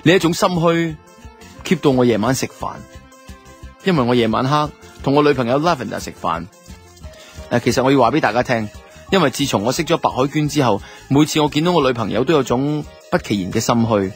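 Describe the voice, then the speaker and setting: A man's voice: mid-range voice, expressive, a little fast, soft background music, lightly acted. A man with a Hong Kong Cantonese accent playing a guilty, self-justifying two-timing boyfriend who narrates a radio drama in colloquial first person. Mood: wry, musing. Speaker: lead actor and narrator.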